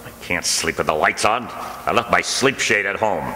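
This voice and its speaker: An actor playing a grumbling, sardonic, exasperated henpecked husband in an old-time radio comedy. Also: male voice, low voice, moderate pace, sharp tone. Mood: weary, irritated. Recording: echoey hall, clear background noise.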